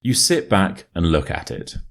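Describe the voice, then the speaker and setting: A man with a modern RP British accent reading a short story as an English teacher, in a measured, clearly enunciated way. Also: sits low, big pitch swings. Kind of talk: scripted story narration for listening practice.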